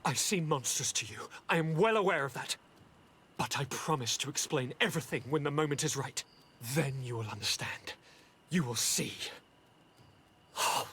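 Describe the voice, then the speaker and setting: Courtly, theatrical young nobleman, fairly high-voiced. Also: fairly bright tone, husky. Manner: courtly, theatrical, mannered phrasing. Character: charming, evasive young noble governor hiding dark ambition. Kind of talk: dramatic video game cutscene acting.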